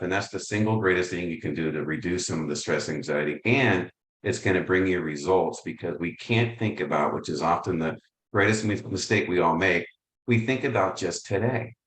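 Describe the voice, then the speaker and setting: Male presenter, low voice, roomy echo, friendly and self-deprecating on a video call. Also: a bit bright. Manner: upbeat, conversational, self-deprecating. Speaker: real estate coaching presenter. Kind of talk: webinar presentation.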